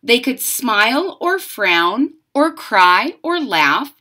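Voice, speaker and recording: Casting: female storyteller, 30s-40s, lively pitch swings, unhurried, emphatic delivery for young listeners. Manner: deliberate, dramatic emphasis. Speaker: storyteller reading a picture book aloud. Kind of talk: expressive children's read-aloud with chatty asides. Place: room at home.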